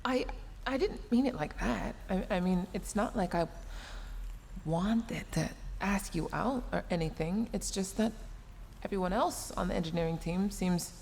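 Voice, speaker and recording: A woman's voice: medium pitch, measured pace, darkish tone. A woman playing a scolding but secretly caring young female mech engineer in a sci-fi audio roleplay, huffy, flustered and prone to stammering. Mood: flustered, shy. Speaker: voice actor. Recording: long reverb, clear background noise.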